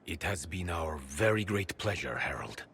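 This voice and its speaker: A courtly duke in a fantasy game, with an extremely deep voice. He is formal, grave and deferential, over faint ambience. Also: animated, normal speed, a bit bright, slight background noise. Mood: courteous, solemn. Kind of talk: dramatic game acting.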